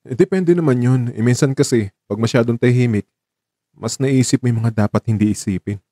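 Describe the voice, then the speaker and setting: A man playing a guarded young professional warming to his lover in a radio drama, talking in a casual, reticent way. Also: medium pitch, brisk, dry recording, clean studio sound, lightly acted. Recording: voice over background music.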